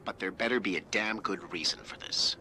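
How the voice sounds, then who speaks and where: An actor playing an irritable, sarcastic colleague in a video game, exasperated and grudgingly helpful. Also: male voice, deep voice, a little fast, sharp tone, slight background noise. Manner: exasperated, snippy.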